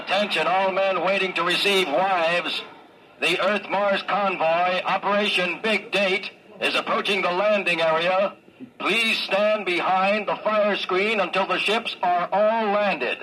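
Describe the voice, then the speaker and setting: A formal spaceport announcer through a public-address loudspeaker. His voice is high, bright and crisp. A faint hiss sits beneath it. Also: steady tone, measured pace, rough recording. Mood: announcing. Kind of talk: 1950s radio drama acting.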